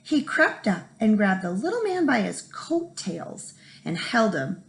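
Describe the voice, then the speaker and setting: Female teacher for a children's read-aloud, animated and emphatic, with a slightly gravelly creak. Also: medium pitch, a little slow. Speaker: first grade teacher reading aloud.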